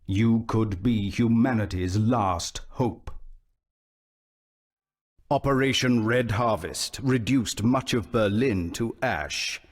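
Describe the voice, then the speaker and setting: A slow, grave horror-game announcer. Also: male voice, fairly low pitch. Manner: slow, grave, ominous delivery. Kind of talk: scripted game narration.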